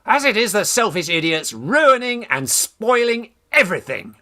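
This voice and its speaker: Farce role: man playing a bossy British young gent, hot-headed, high-pitched, wildly animated delivery. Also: moderate pace, heavily acted. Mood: indignant. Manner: emphatic, blustering. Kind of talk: Victorian stage farce, radio play acting.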